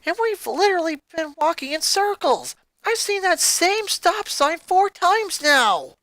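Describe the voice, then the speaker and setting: A woman's voice: very high voice, sing-song, measured pace, fairly bright tone, dry recording, voice pushed up, heavily acted. A woman voicing a defensive, exasperated teenage big sister in a story reading.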